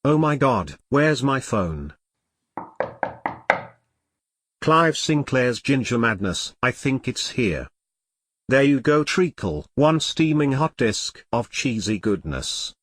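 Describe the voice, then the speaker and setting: A man's voice: mid-range voice, big pitch swings, takes it slowly, dry recording, studio quality. A synthetic male text-to-speech voice playing a melodramatic, easily flustered computer turned elephant in an audio comedy drama. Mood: eager, whimsical. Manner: theatrical complaining in synthetic text-to-speech delivery.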